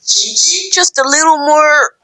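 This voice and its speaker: A woman voices a gentle comic-dub character who coaxes softly and hesitantly. The pitch swings widely, the delivery is unhurried and pleading, and the sound is dry. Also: lively intonation, dry recording, exaggerated voice, low-quality recording. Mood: gentle coaxing.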